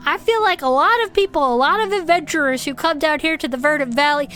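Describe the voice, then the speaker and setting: Chatty adventurer cryptid-club host, high voice, conversational, playfully spooked storytelling for comedic audio fiction. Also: female voice, fast, a bit bright, faint music behind. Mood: musing. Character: Herb, chatty adventurer woman hosting a cryptid club, theorizing.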